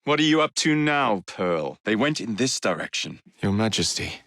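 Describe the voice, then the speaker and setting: An actor playing a cocky, roguish young Victorian gang leader in a video game, with an English accent and a cheeky, theatrical, teasing way of speaking. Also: male voice, lowish voice, big pitch swings, moderate pace, a bit bright, no echo, clean studio sound. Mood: purposeful. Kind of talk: dramatic video game dialogue lines.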